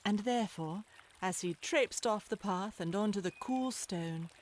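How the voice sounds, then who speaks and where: A woman's voice: mid-range voice, a little slow, a little hiss or hum. A woman narrator reading a scripted animal story in storybook style.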